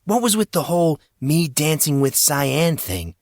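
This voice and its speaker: Man acting a flustered, indignant teenage boy, pitched up. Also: sing-song, measured pace, no room sound, studio quality. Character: flustered, defensive teenage schoolboy hero.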